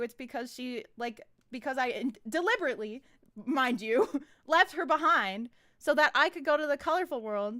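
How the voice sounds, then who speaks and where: A woman's voice: sits high, a bit bright, smooth. A woman giving casual, rambling commentary on her own animations as a live stream host. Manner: rambling, informal.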